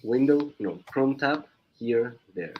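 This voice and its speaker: Swiss-Mexican-accented young guy, guest on a stream, lively up-and-down pitch, laughs a lot. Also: slow, dull audio, slight background noise. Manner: chatty, laughs often, restarts words. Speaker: guest comic creator. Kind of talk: casual chat on a live stream.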